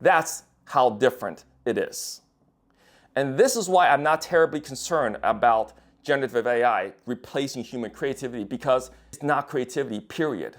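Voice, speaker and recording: A man's voice: mid-range voice, animated, normal speed. A young man speaks in a loose, unscripted monologue, blunt and peppered with profanity. He circles his points with restarts and fillers, in an ordinary mid-range voice. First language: English. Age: young adult. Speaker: writer and designer. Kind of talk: casual monologue to camera.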